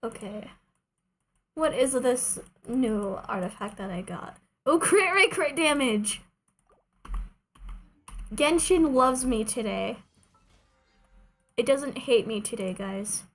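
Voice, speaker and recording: A woman's voice: fairly high pitch, lively intonation, slow pace, long pauses, a bit bright. A woman livestreaming a video game, chatting casually to herself and her viewers in a playful, self-deprecating way. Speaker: streamer, video game player. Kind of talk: casual gaming livestream chat.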